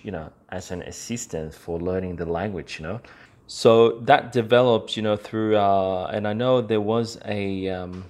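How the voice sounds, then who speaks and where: Guy with a lowish voice doing a casual explainer podcast, chatty, lots of little tag questions. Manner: informal, conversational, peppers talk with "you know" and "right?". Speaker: podcast host.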